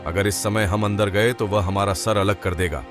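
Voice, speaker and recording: A man's voice: lowish voice, fairly even intonation, moderate pace, over music, lightly acted. A man playing an apologetic, fearful palace guard in a devotional cartoon story. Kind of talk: devotional animated story acting.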